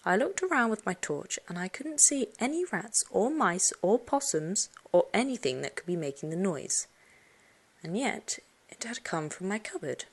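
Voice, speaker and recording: A woman reading a children's suspense story aloud, expressively, with dramatic hushed moments. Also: mid-range voice, big pitch swings, moderate pace, breathy edge. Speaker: audiobook narrator. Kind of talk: dramatic first-person storybook narration for children.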